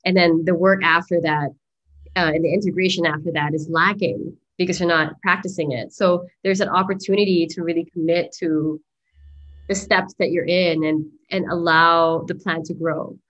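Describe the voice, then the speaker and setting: Musing, lower-voiced woman host. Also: fairly even intonation, normal speed, a bit bright, dull audio. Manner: enthusiastic, musing, many fillers. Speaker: summit host. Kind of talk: interview hosting, spiritual talk.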